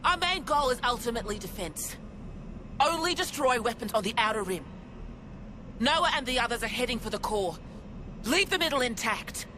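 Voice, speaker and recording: An actress playing a commanding, resolute and wry woman who leads a city in a video game cutscene, speaking with crisp authority. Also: on the high side, lively intonation, normal speed, long pauses, background noise, close to an everyday voice. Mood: firm, tactical. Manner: authoritative, crisp military orders.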